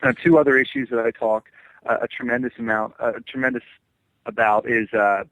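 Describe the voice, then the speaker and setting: A young man in his twenties from New Jersey, talking as a guest on a radio show. He pauses often and fills his answers with "uh" and "um", and his voice sounds dry and close. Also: medium pitch, steady tone, a bit bright, no room sound, poor audio. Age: 20s. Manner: hesitant, heavy with fillers. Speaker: political candidate guest.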